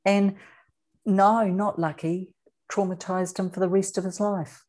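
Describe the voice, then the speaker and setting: A woman with a New Zealand accent speaking earnestly as an interview guest, deliberate and emphatic, repeating words for weight. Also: mid-range voice, level tone, a little mellow. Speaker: interview guest, author and abuse survivor advocate.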